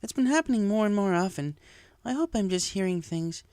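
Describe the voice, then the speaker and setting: A man's voice: sits high, animated, brisk, a little mellow, no echo, voice pushed up. A motherly cartoon mare who runs a bakery, acted by a man. The voice is raised, chatty and confiding, with a dry close sound. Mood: uneasy. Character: chatty, motherly female bakery pony, uneasy.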